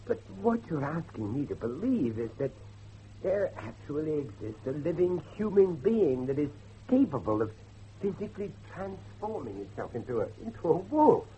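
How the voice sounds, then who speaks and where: A man's voice: a little high, big pitch swings, moderate pace, warm tone, lo-fi sound, slight background noise. Man acting a brooding, intense grieving father, swooping delivery. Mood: skeptical. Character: grieving father, an intense, grave believer in devilry. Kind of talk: dramatic horror dialogue acting.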